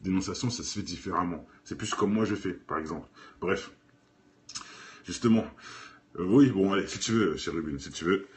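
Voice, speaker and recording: Low-voiced man giving opinionated commentary. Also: moderate pace, long pauses. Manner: opinionated, argumentative, colloquial. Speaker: video host, commentator. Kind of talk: informal off-the-cuff reaction commentary.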